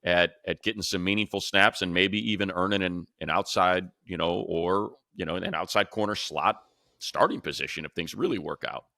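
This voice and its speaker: A man's voice: deep voice, steady tone, normal speed, gravelly, sharp tone, dry recording, studio quality. An American man co-hosting a sports talk show, explaining things in a casual, conversational way. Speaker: sports talk show host and play-by-play announcer.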